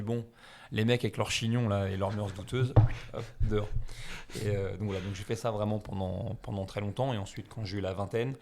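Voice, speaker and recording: A man's voice: on the low side, creaky, darkish tone. A man in his thirties from France, chatting casually as an interview guest, rambling and self-deprecating with lots of fillers.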